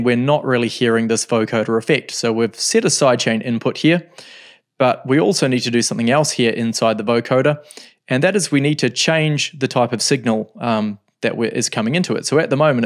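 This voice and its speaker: A middle-aged man teaching a music software tutorial, explaining step by step in a relaxed way with thinking pauses, recorded at a desk in a home studio. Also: medium pitch.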